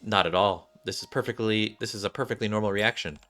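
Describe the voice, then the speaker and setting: A man voicing a calm, reassuring confidant in a game story, gently firm. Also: lowish voice, fast, soft background music.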